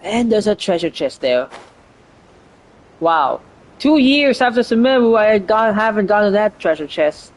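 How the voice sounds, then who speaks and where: Animated male game streamer. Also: high voice, a little slow, low-quality recording. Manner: excitable, sweary reactions. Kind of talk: casual gameplay commentary.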